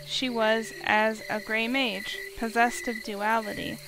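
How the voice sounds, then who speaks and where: A woman's voice: fairly high pitch, flat delivery, takes it slowly, noisy background. A woman reading scripted story narration as the narrator of a visual novel.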